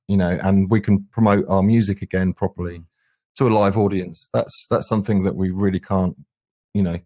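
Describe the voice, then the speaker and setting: A man's voice: on the low side, a little mellow. A man chatting earnestly and enthusiastically as an interview guest from a band. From England, UK. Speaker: band singer and guitarist, guest. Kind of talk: casual interview chat.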